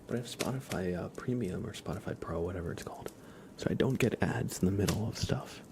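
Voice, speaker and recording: A man hosting an ASMR livestream, chatting softly and casually in a hushed, unhurried way that often slips into whispering. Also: sits low, creaky, a little hiss or hum. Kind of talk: soft ASMR livestream chat.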